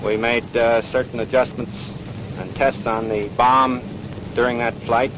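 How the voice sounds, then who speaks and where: American male naval officer, formal measured interview delivery, clearly noisy 1940s field recording. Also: medium pitch, flat delivery. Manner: measured, matter-of-fact. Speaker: navy officer.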